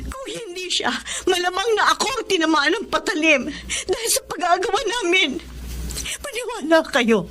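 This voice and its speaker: An actress playing a battered, jailed former housemaid in a Tagalog radio drama, emotional and pleading. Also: female voice, very high voice, sing-song, normal speed, fairly bright tone, heavily acted. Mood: desperate, pleading. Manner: emotional, pleading, stammering when startled. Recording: dramatic music behind.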